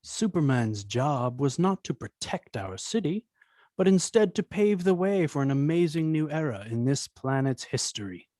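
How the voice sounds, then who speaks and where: A scheming, smooth-talking tycoon villain, acted by a man in a dramatic reading. The voice is a little high for a man. The delivery is pompous speechmaking, captured very dry. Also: very animated, normal speed, warm tone, no echo. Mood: pompous, showy. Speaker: table-read performer. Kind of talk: dramatic script read-through.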